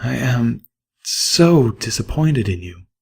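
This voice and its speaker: Man putting on a high pleading young mare. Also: animated, measured pace, a little mellow. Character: gentle young mare healer, idealistic, earnest.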